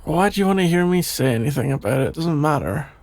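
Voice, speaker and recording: Man acting a creaky-voiced, flustered shy best friend. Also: fairly high pitch, animated, darkish tone. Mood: flustered, embarrassed. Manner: hesitant, halting, trailing off with sighs and nervous chuckles. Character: shy, flustered young male best friend, sleepy and embarrassed. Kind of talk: intimate ASMR audio roleplay, improvised-sounding dialogue.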